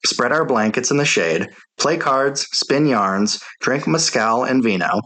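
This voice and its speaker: A man's voice: medium pitch, animated, measured pace, pushed and bright. A man reading a soldier's memoir aloud as scripted audiobook narration.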